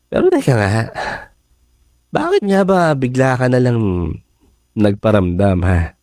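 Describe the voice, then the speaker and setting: A man's voice: mid-range voice, sing-song, measured pace, darkish tone, dry recording, clean studio sound. An actor with a Filipino accent playing a playful, affectionate male friend in a radio drama. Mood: friendly, teasing.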